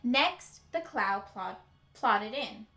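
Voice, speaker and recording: Slow, bright-voiced young woman reading aloud. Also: fairly high pitch, lively intonation. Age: young adult. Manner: deliberate, emphatic storytelling for children. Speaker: teacher reading aloud to children. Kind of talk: expressive picture-book read-aloud. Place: indoor room with bookshelves. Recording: roomy indoor echo.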